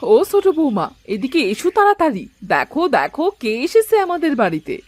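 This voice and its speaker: A woman's voice: high-pitched, wildly swooping pitch, moderate pace, darkish tone, dry recording. A woman playing an affectionate older mother-in-law in a cartoon family drama. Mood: surprised, excited.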